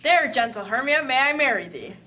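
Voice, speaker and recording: Woman as high-voiced ardent young male lover. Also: expressive, brisk, sharp tone. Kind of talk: amateur puppet-show Shakespeare acting.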